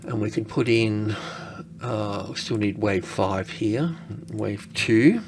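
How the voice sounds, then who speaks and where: Low-voiced man casually talking through charts. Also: background noise. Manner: casual, halting, thinking aloud. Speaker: market analyst presenting. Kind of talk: unscripted screen-share chart commentary.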